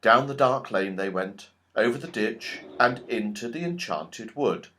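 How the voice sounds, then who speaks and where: A man reading a children's story as a narrator, with expressive, scripted storytelling. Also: fairly low pitch, a little slow, slight background noise. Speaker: audiobook reader.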